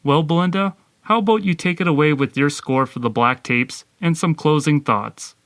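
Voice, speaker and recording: A man co-hosting a podcast, delivering a scripted review in a measured presenting style. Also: a little high, fairly bright tone, no echo, clean studio sound.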